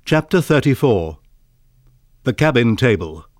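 A man's voice: mid-range voice, big pitch swings, unhurried, no room sound, clean studio sound. A man reading out book and chapter titles as a scripted announcement.

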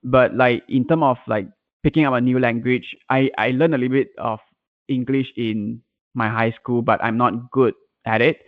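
A man's voice: mid-range voice, steady tone, moderate pace, dry recording. A man whose first language is Mandarin, answering casually as a guest in an interview. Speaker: podcast guest, content manager and blogger. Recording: tinny, band-limited internet call audio.

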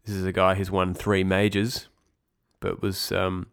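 Low-voiced stuffy-nosed man hosting a podcast. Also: monotone, a little slow, creaky, a bit bright, smooth. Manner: rambling, self-correcting, wry asides. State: congested with a cold. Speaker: podcast host. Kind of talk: casual esports news podcast.

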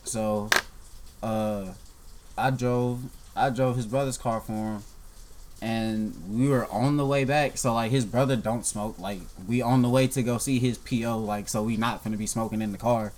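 A young man in casual conversation, telling a story in a relaxed way with many fillers. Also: mid-range voice, normal speed. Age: young adult.

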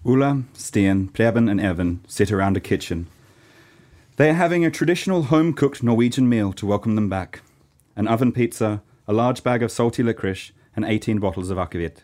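A man's voice: lowish voice, animated, fairly quick, darkish tone. A man from Norway narrating a scripted radio play in a theatrical, storytelling way.

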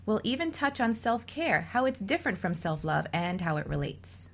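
A woman's voice: medium pitch, expressive, normal speed, creaky. A woman hosting a radio talk show on relationships, delivering semi-scripted introductions and chat as a host and coach.

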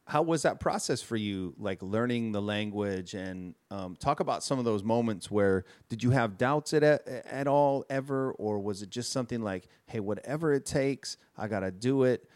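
Low-voiced easygoing male interviewer. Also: normal speed, hardly any pauses, a little mellow. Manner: laid-back, calls people "man". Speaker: interviewer, tattooer. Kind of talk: casual interview chat.

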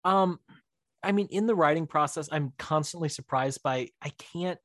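Rambling, higher-voiced man in casual conversation. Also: normal speed, fairly bright tone, no room sound, clean studio sound. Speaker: writer-director of audio dramas, podcast guest. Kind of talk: casual interview chat.

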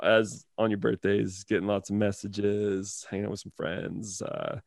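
Gravelly low-voiced man hosting a podcast. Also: little pitch movement, measured pace, dry recording, studio quality. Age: 30s. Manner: rambling, filler-heavy, laughs easily. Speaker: podcast host. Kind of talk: casual podcast chat.

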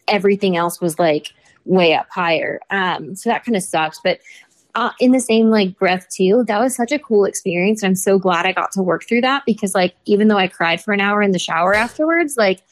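A woman's voice: mid-range voice, a little fast. Young woman guest, chatty storyteller, says "like" a lot, podcast chat. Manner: chatty, rambling storyteller with many "like" fillers. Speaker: motorsport reporter, podcast guest.

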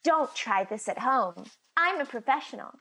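A woman's voice: high voice, lively intonation, normal speed, fairly bright tone, exaggerated voice. A woman playing a bitter, scheming housewife who hosts a cooking show in a comedy radio play, mock-cheerful and sardonic. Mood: sardonic, perky.

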